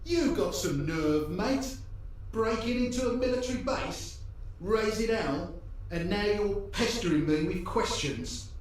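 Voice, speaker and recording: Brash high-pitched small-time crook, echoey. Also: male voice, animated, a little slow. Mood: hostile. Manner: brash, foul-mouthed, defensive. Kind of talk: video game cutscene acting. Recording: echoey, distant, noisy game cutscene audio.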